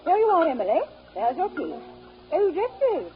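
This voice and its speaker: A woman's voice: extremely high pitch, sing-song, moderate pace, soft background music. An actress playing a haughty, callous titled lady with an affected, imperious manner in a 1930s radio drama. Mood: gracious. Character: haughty, callous aristocratic lady landowner, fluttery and indignant. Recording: muffled 1930s radio broadcast.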